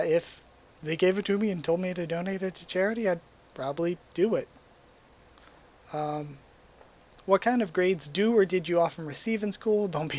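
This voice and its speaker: Young male vlogger, somewhat high voice, hoarse, easygoing rambling webcam chat. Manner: relaxed, rambling, with frequent ums and likes. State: losing his voice. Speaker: YouTuber. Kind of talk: casual quiz commentary to webcam. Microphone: desk mic at a webcam setup.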